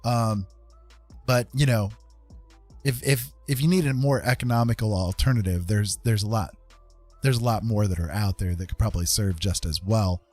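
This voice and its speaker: Man, lowish pitch, live stream host, casual rambling chat, hesitant pauses, repeated phrases, soft background music. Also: no echo. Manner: hesitant, pausing mid-thought, repeating phrases.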